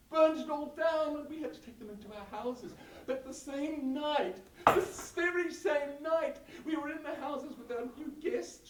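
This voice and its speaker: A woman's voice: sits high, darkish tone, pitched above the performer's own voice, lightly acted. A woman voicing a fretful young settler bride who exclaims breathlessly and laughs nervously, recorded roomy and from a distance with faint noise behind.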